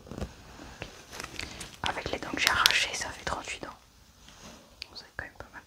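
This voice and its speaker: Whispered female ASMR voice, methodical clinical examiner narrating procedures step by step. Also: normal speed. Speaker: ASMR roleplayer playing a medical examiner. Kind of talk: whispered ASMR medical roleplay.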